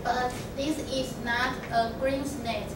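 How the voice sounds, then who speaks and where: A young woman playing a mother frog who gently corrects her child, reading slowly from a script. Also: high-pitched, fairly even intonation. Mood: gentle. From Taiwan. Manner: slow, careful reading from a script. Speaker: student reader. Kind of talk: readers' theatre reading. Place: large classroom. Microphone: far camera. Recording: echoey classroom with loud background noise, far off.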